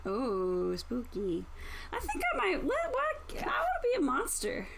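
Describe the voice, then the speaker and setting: A woman's voice: sits high, wildly swooping pitch, a little slow, creaky, a bit bright, a little hiss or hum. A woman chatting casually as a player in game prep, reacting in short, informal remarks. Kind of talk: casual chat during tabletop game prep.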